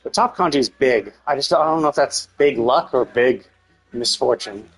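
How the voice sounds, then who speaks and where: A man's voice: mid-range voice, animated, moderate pace, husky, faint music behind, rough recording. A man streaming a game live with casual commentary, thinking aloud tentatively and correcting himself as he goes.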